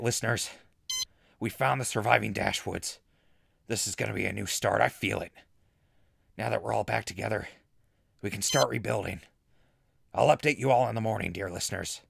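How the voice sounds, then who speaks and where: Man acting a jittery, gravelly wasteland radio host. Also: lowish voice, fairly bright tone, dry recording, exaggerated voice. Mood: excited, elated. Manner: rambling, dramatic, with hesitant pauses. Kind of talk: audio drama acting as recorded diary.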